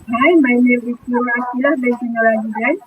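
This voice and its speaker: A young Malaysian woman with a bright, high voice reads out prepared answers, pausing on fillers now and then. Her voice comes through a thin, phone-like line with faint noise behind it. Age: 20s. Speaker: student interviewee.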